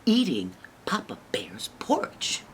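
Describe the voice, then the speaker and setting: A woman voicing a father bear in a children's story, blustering and puzzled, with drawn-out, pompous emphasis. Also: lowish voice, huge pitch swings, fairly bright tone, slight background noise, heavily acted. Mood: outraged. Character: big papa bear, blustering, puzzled head of family.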